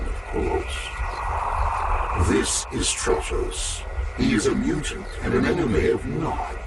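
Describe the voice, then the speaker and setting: An actor playing a cold, calculating, imperious military artificial intelligence in a video game cutscene, speaking in formal, measured, menacing declarations. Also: male voice, fairly low pitch, creaky, heavily acted. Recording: very noisy, distant game cutscene audio.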